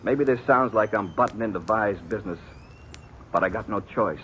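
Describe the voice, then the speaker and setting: An actor playing a stern, protective brother on the frontier, speaking in earnest, insistent rustic speech in a 1950s radio western. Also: male voice, on the low side, normal speed, a bit bright, slight background noise, natural voice. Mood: worried, grim.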